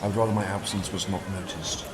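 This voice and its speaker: A man's voice: low voice, steady tone, quick pace, background noise, lightly acted. A man playing an earnest, anxious informant in a radio drama, speaking formally and carefully with some urgency. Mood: uneasy, urgent. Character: anxious, earnest male informant from a political brotherhood.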